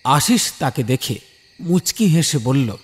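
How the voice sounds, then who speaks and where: A man's voice: mid-range voice, sing-song, slow pace. A man giving a dramatic scripted narration as the storyteller of a horror tale. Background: music bed.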